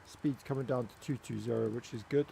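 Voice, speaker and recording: A man's voice: medium pitch, steady tone, a little fast, creaky, dark tone, a little hiss or hum. A man from Wales with a Welsh accent, giving casual, chatty commentary while flying a flight simulator. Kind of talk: casual gaming commentary with chat.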